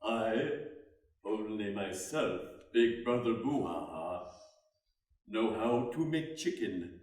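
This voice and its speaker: A man's voice: lowish voice, animated, slow, darkish tone. Swooping, pompous witch doctor played by a man. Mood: pompous, boastful. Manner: pompous broken English. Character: pompous cannibal big brother, boastful witch doctor. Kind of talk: live dramatic play reading. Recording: echoey hall, far from mic.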